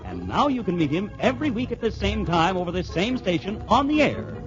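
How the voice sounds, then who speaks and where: High, animated vintage radio announcer man. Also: a little fast. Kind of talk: excited 1940s radio serial announcing. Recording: muffled 1940s radio recording with music. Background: music.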